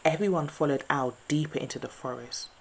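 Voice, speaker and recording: A woman storyteller reading a children's picture book aloud in an expressive, scripted bedtime-story style. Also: deep voice, fairly quick, vocal fry, breathy edge, low-quality recording.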